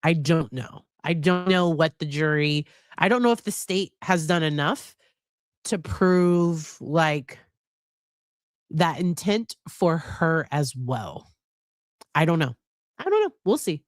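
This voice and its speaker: A woman's voice: sits low, animated, takes it slowly, no echo, studio quality. A middle-aged woman psychologist hosting a live stream, chatting casually with a slightly nasal voice from a cold, often restarting and hedging. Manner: chatty, hesitant, many restarts and laughs. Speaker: psychologist and livestream host.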